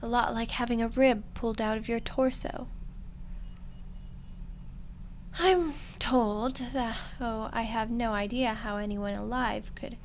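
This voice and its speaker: A woman playing an ancient female demon in a roleplay ASMR drama, smug, condescending and coolly menacing, speaking deliberately with dramatic pauses. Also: fairly high pitch, steady tone, slight background noise, close to an everyday voice. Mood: coldly threatening.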